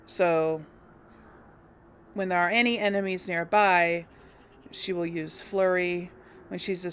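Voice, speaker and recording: A woman hosting a let's play, chatting casually about her game choices and thinking out loud with plenty of ums. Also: fairly low pitch, slight background noise. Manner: chatty and unsure, thinking aloud with many ums. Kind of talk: casual gameplay commentary.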